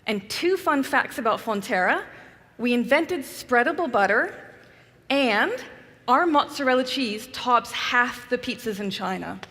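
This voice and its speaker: A woman with a New Zealand accent speaks in a composed, businesslike manner, answering questions onstage. Her voice sits a little high for a woman. A long reverb from the large hall trails behind her. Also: expressive, measured pace, a bit bright, a little hiss or hum. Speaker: customer guest on keynote stage. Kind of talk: onstage interview. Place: large conference hall stage.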